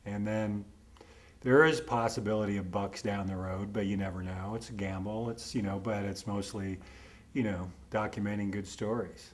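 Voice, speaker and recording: A man's voice: fairly low pitch, steady tone, normal speed. A man interviewing a friend, talking in a loose, rambling way, dropping "man" and the odd swear word. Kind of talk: casual chat between friends.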